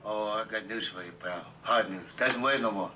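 An actor playing a bitter, disillusioned middle-aged executive husband in a television drama, with a halting, sardonic delivery. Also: male voice, fairly low pitch, bright, forceful tone, a little hiss or hum. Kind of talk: 1960s television drama acting.

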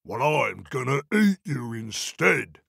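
A man doing a booming greedy troll. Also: sing-song, vocal fry, fairly bright tone, breathy edge, no echo, clean studio sound, heavily acted. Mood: menacing. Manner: booming, slow and menacing.

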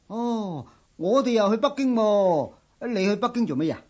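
An actor playing a jovial, easygoing monk companion in a radio drama, teasing and exclamatory, with a Hong Kong Cantonese accent. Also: male voice, sits high, lively intonation, normal speed, darkish tone.

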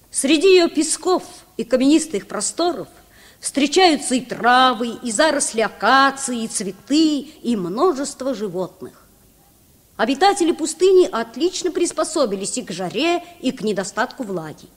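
A bright-minded boy captain lectures eagerly in a vintage children's radio drama. The voice is high and swings expressively, ringing in a long reverberant hall. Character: Dick Sand, brave, knowledgeable boy sea captain. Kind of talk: old Soviet children's radio play, lecturing.

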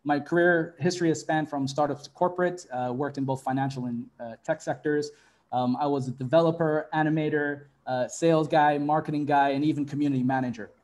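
Chill fiji-born guy on a zoom panel, warm mellow voice, lots of ums. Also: a little high, a little fast. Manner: relaxed, friendly, many fillers. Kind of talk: webinar self-introduction. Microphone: earbuds on a video call.

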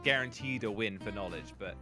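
A man's voice: fairly low pitch, a little fast, bright, forceful tone. A young man chatting casually with friends as they play a game. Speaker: gaming co-player. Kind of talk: casual gaming banter. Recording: game music clearly behind the voice.

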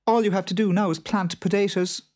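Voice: mid-range voice, steady tone, a little fast, pitched above the performer's own voice, natural voice. A storyteller voicing a farmer's wife in a children's tale, shrewd and sensible, persuasive and a little bossy. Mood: sly satisfaction.

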